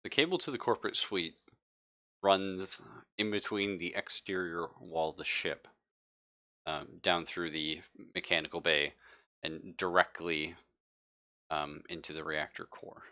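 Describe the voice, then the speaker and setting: A man's voice: sits low, steady tone, unhurried, pushed and bright. A man improvising as game master of a tabletop roleplaying game, thinking aloud with some hesitation.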